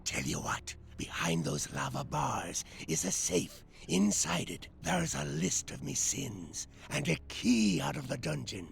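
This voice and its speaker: Creaky, swooping eccentric prisoner. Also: male voice, a little high, big pitch swings, measured pace, hardly any pauses, heavily acted. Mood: conspiratorial. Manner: theatrical, rambling. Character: forgetful, guilt-ridden old prisoner of a pirate, eccentric. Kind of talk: video game character acting.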